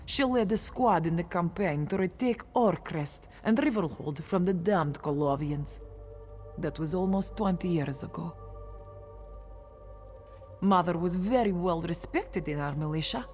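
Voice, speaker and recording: A woman's voice: lowish voice, measured pace, darkish tone, soft background music. An actress playing a proud, grieving young cat-folk warrior woman in a video game, with earnest dramatic delivery. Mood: wistful, grieving. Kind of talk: video game character dialogue.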